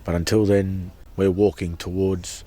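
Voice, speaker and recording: A man's voice: low-pitched, flat delivery, unhurried, mellow. A man giving an earnest, impassioned personal account as a protester, often repeating and restarting his words. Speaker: protester at a sacred site camp.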